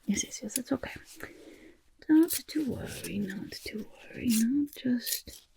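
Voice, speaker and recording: A woman voicing a chatty, eager little goblin portrait artist in an ASMR roleplay, half-whispering with a raspy, breathy, fussy and coaxing delivery. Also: fairly high pitch, animated, unhurried, dark tone. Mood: apologetic, soothing. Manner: speaks in third person, hesitant, fussy, coaxing.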